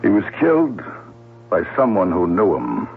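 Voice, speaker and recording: A humming, thin old radio recording carries a male police lieutenant. His gravelly voice sits very low and swings expressively as he puts deliberate, probing questions in 1970s radio drama style. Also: wildly swooping pitch, exaggerated voice. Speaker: actor. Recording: narrowband old radio broadcast with hum.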